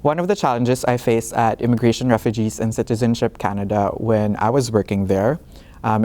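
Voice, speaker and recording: Mellow-voiced young man from the Philippines. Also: fairly low pitch. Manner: hesitant, many fillers and restarts. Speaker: master's student. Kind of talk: casual talk to camera.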